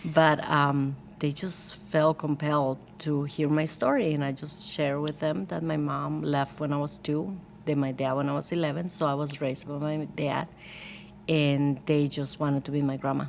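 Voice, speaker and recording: Low-voiced Costa Rican woman telling her story. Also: fairly even intonation, moderate pace, gravelly, a little hiss or hum. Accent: Costa Rican Spanish. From Costa Rica. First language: Spanish. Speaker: guest, church worship team leader. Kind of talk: personal storytelling in a conversational interview.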